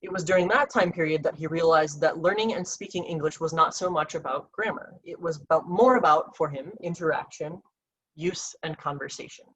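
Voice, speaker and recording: A researcher giving an academic slide presentation about a case study, reading carefully in a measured way with occasional restarts and ums. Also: mid-range voice, a bit bright, slightly rough, low-quality recording.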